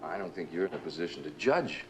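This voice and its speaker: A very low-voiced, smooth schemer from a vintage suspense drama. He speaks in a measured, condescending way, with wide swings of intonation and a controlling, calculating temper. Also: lively intonation, over music. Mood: coolly calculating. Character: smooth, coldly calculating male usurper manager, controlling. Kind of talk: old radio and television suspense drama acting.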